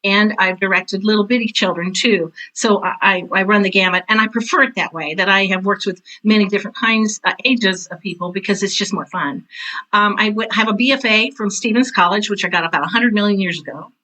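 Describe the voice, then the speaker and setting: A woman's voice: mid-range voice, fairly quick, pushed and bright, poor audio. A woman in her sixties chatting as an interview guest, telling stories in a casual, emphatic way. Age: 60s. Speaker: interview guest, retired theater teacher and director. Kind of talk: casual conversational storytelling.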